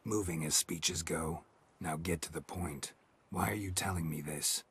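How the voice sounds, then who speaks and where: An actor playing a stoic, world-weary monster hunter in a video game, speaking tersely in a gruff, deadpan voice. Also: male voice, low-pitched, unhurried, heavily acted. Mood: guarded, deadpan. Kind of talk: video game cutscene dialogue.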